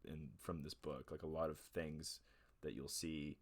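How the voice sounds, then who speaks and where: A man in his twenties hosting a relaxed show, rambling casually through book reviews with lots of fillers. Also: rumbling low, a little slow, husky. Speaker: host of a music and talk show.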